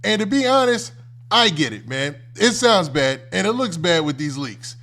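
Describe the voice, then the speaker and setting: An American man with a somewhat high-pitched voice hosts a reaction stream. He talks casually and off the cuff, in loose colloquial phrases, as if chatting directly to his viewers. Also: big pitch swings, moderate pace, pushed and bright. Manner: conversational, colloquial, often ends phrases with "man". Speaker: host of a commentary livestream.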